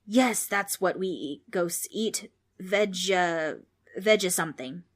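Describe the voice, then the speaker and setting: A woman's voice: on the low side, slow pace. A woman voicing a cranky old male ghost who scolds, grumbles and loses his train of thought in a children's audiobook. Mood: muddled. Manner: grumbling, exasperated, old-fashioned insults and rambling.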